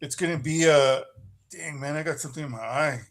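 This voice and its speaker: A man's voice: fairly high pitch, fairly quick, a bit bright. A man co-hosting a fight podcast, running down the weekend's events in a casual, laid-back way, often saying bro. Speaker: podcast co-host.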